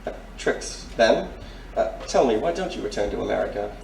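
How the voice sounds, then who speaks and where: An actor playing a courtly, earnest major in a noir radio drama, formal and hesitant. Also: male voice, fairly low pitch, expressive, moderate pace, gravelly. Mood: polite, probing. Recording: roomy, distant, faint background noise.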